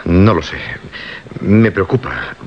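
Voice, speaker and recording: An actor with a Castilian Spanish accent playing a brooding, obsessed horror radio host, terse and preoccupied, in a radio drama. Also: male voice, low voice, expressive, slow pace, exaggerated voice. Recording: muffled radio recording, faint noise.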